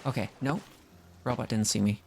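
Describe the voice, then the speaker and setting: A man's voice: lowish voice, fairly even intonation, moderate pace, vocal fry, warm tone. A man giving casual gameplay commentary as a streamer, thinking aloud and muttering to himself. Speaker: game streamer.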